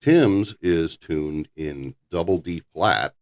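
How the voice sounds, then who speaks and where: An older man with a very low voice, hosting a casual podcast chat, rambling and halting with frequent restarts. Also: expressive. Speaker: podcast host.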